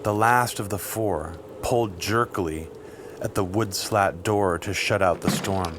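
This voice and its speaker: A man reading scripted literary fiction as an audiobook narrator, with measured, deliberate phrasing. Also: sits low, background noise. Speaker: audiobook reader. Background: music.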